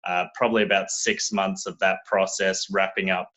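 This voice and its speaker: A young Australian man with an Australian accent, telling his story in a relaxed, casual interview, often restarting his sentences. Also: low voice, normal speed. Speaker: guest.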